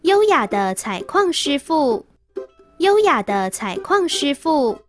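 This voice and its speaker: A woman reads out scripted announcements. Her voice is high. Light children's-style music plays behind her. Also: very animated, takes it slowly. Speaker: jingle and quiz question announcer.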